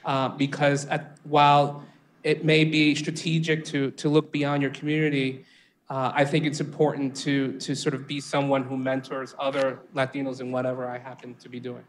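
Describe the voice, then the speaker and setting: A man on a discussion panel, telling a reflective story about mentorship in a measured way. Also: medium pitch, completely flat delivery, a little hiss or hum. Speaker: panelist, venture capitalist. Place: event space with audience.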